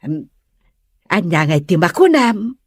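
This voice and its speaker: A woman's voice: lowish voice, sing-song, breathy edge, no echo, studio quality, heavily acted. A woman playing a nosy neighbourhood gossip who teases and needles in a comedy audio drama. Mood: teasing. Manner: drawn-out, needling.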